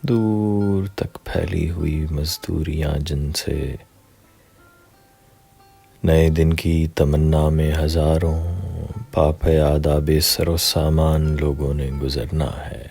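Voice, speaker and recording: Very deep, slow male poetry reciter. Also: flat delivery, creaky, warm tone, soft background music. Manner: measured, deliberate, pausing between short phrases.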